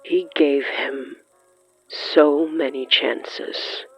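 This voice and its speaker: Slow, dramatic horror narrator, muffled sound. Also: mid-range voice, fairly even intonation, husky. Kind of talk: scripted horror fiction narration, third person. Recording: muffled lo-fi sound with music underneath. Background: music.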